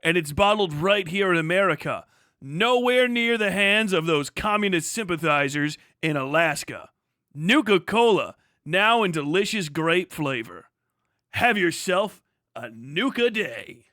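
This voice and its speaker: A man putting on a cheery retro-futuristic soda commercial pitchman, with a slow, hammy mock 1950s advertising delivery. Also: lively intonation, sharp tone, no room sound, clean studio sound, full character voice. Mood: folksy salesmanship.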